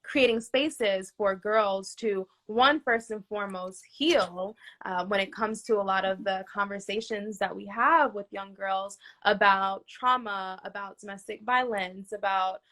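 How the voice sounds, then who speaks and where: A woman's voice: mid-range voice, a little slow, few pauses, sharp tone. A woman entrepreneur and nonprofit founder answering interview questions earnestly, with frequent "um" fillers and "right?" tags.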